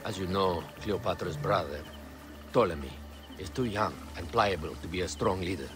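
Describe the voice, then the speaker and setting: A low-voiced male actor playing a royal adviser in an ancient Egyptian game drama. He is composed and courtly, choosing words with formal, measured care. Music plays behind him. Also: natural voice. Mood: counselling, discreet. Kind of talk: dramatic video game acting.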